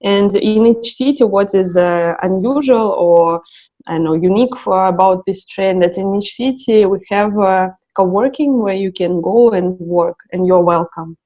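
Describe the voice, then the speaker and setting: Woman, halting interview English. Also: mid-range voice, warm tone, low-quality recording. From Russia. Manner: hesitant, many fillers and restarts. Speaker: guest, co-founder of a travel project.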